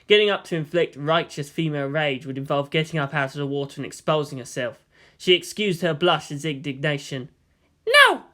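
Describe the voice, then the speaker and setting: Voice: medium pitch, fairly quick, a bit bright. A narrator giving a scripted, dramatic reading of anime fan fiction. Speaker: audiobook narrator.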